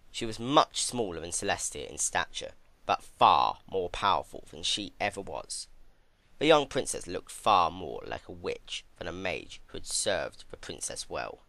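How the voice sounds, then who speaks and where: Deep-voiced man narrating dramatically. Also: vocal fry, bright, forceful tone, no echo. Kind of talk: dramatic scripted narration of dark fan fiction.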